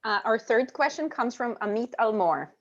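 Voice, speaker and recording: Woman, higher pitch, muffled call audio, session chair introducing questions. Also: lively intonation, moderate pace. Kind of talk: moderating questions.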